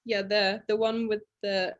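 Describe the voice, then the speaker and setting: Relaxed woman. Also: mid-range voice, unhurried, no room sound, dull audio. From Latvia and England. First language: Russian. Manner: relaxed, thinks aloud with many fillers. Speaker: podcast guest. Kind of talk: casual interview chat.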